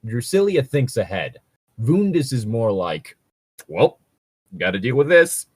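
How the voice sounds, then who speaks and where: A man's voice: mid-range voice, big pitch swings, measured pace, breathy edge, no room sound. A man chatting casually as a co-host, rambling and jokey with a bit of swearing. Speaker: co-host reading and discussing tabletop gaming stories. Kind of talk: casual chat.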